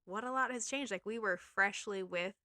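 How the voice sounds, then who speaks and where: A woman's voice: mid-range voice, moderate pace, pushed and bright, no echo, studio quality. A woman in her thirties from Maine with a slight Maine accent, co-hosting a podcast in a chatty, filler-heavy way. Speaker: podcast co-host, real estate agent. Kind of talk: casual chat.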